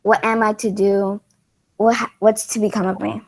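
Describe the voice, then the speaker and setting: A proud, hurt young former flower girl, acted out by a woman in an amateur readers' theatre play. Her mid-pitched lines come haltingly, with stumbles and repeated words. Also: level tone, creaky. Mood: despairing.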